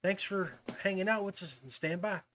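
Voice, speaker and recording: A man's voice: high voice, moderate pace, background noise. An American man hosting a casual fishing podcast, chatting with guests in a friendly, chummy and appreciative way. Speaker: podcast host.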